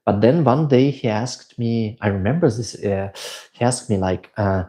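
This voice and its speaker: A man's voice: fairly low pitch, moderate pace, warm tone. A middle-aged man from Switzerland with a German accent, chatting casually as a livestream host, reading out viewer questions and answering at length. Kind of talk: casual live Q&A chat.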